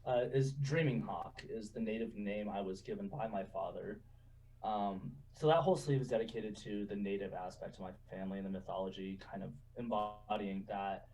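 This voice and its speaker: A man in his twenties telling stories in a relaxed, chatty way, laughing often and saying "you know" a lot. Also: lowish voice, normal speed, recorded from a distance, low-quality recording. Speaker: guest. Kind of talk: casual interview storytelling.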